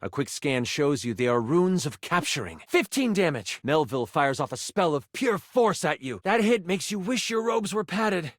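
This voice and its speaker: An actor playing a theatrical game master who narrates a fantasy adventure with suspense. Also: male voice, on the high side, big pitch swings, moderate pace, few pauses, no echo, studio quality. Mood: suspenseful. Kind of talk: dramatic second-person scripted narration.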